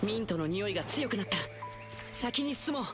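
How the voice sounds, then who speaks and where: A woman's voice: medium pitch, lively intonation, brisk, over loud music, natural voice. A woman playing a bold, protective young boy hero in a video game, earnest and boyish. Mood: cheerful, curious. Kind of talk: Japanese video game voice acting.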